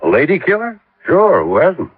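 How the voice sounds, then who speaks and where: An actor playing a puzzled captain asking plain questions in a 1950s radio drama. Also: male voice, on the high side, wildly swooping pitch, moderate pace. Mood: curious. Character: puzzled, inquisitive male captain. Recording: dry, tinny old radio recording.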